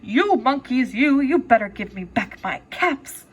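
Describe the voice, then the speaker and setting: A woman voicing a male cap peddler in a storybook read-aloud, with emphatic, halting delivery. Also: on the high side, animated, slightly rough, highs cut off. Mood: angry scolding. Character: angry male cap peddler scolding monkeys.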